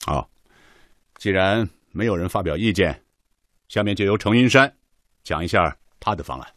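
An actor playing a measured, authoritative official in a radio drama, chairing a technical meeting with unhurried, probing questions. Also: male voice, sits low, expressive, a bit bright, no echo, studio quality.

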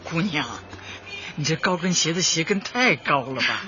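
A woman's voice: low voice, animated, moderate pace, pushed and bright, slight background noise. An actress in a radio drama playing a caring old grandmother and community leader, solicitous and often repeating herself. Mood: gentle concern. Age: older. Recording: muffled, busy crowd and music behind.

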